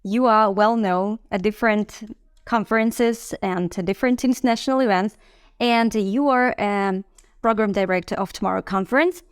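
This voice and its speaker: Interview host: woman, clear resonant voice, friendly and encouraging, dry close sound. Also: mid-range voice, normal speed, dry recording. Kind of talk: interview, conversational.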